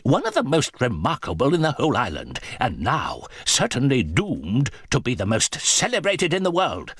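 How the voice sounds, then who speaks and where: An actor playing an excitable older professor uncle in an audiobook drama, speaking in an emphatic, pompous, declamatory way. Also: male voice, medium pitch, normal speed, husky, no room sound, studio quality, exaggerated voice. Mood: lecturing, assured.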